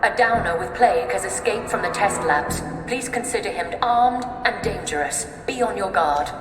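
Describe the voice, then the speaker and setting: A woman's voice: medium pitch, expressive, normal speed. A woman playing a cool, formal announcer at a sinister facility in a video game, speaking over a public address system. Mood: urgent warning. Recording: reverberant loudspeaker hall, music behind.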